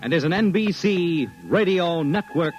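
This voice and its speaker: A man's voice: high-pitched, normal speed. Dramatic 1950s American radio announcer, male, music behind. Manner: dramatic, formal. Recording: muffled vintage radio recording.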